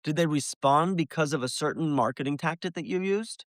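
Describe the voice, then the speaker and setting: A man's voice: fairly high pitch, brisk, no echo, clean studio sound. A man presenting an instructional video, explaining things step by step and addressing the listener directly. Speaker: window cleaner presenting a business tutorial. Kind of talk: instructional video narration to viewers.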